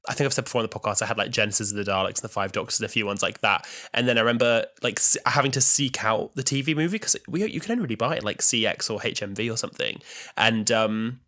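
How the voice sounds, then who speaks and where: A man in his twenties, co-hosting a podcast in lively, camp casual chat with frequent laughs. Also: on the low side, quick pace. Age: 20s. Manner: animated, camp, laughs often. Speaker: podcast co-host.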